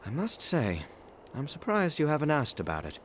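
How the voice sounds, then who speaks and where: A man's voice: on the high side, wildly swooping pitch, normal speed, a little hiss or hum. Formal, proud male companion. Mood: guarded. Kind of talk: video game voice acting.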